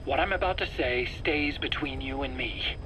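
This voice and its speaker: An actor playing a nervous, eager scientist under interrogation in a video game, self-justifying in an earnest, explanatory, pleading way. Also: male voice, mid-range voice, moderate pace, close to an everyday voice. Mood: secretive, insistent. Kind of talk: dramatic video game voice acting. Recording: faint background noise, cassette tape interrogation.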